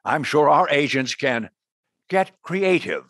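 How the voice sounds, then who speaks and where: An actor playing an eager-to-please deputy director in a comedic radio play, husky and prone to stammering. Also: male voice, a little high, animated, slow pace.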